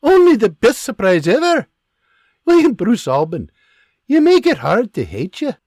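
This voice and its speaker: A man voicing a fiery, loving farm mother in an audiobook, shrill and scolding. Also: wildly swooping pitch, measured pace, a little mellow, dry recording, studio quality, heavily acted. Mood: fond. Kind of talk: audiobook character voice.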